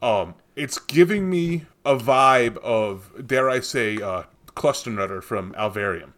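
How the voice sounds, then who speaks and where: Casting: casual guy co-hosting a beer show, chatty and meandering, medium pitch. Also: expressive, slow pace, a bit bright. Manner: rambling, tangential, with many fillers and restarts. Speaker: podcast co-host reviewing beer. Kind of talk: casual chat.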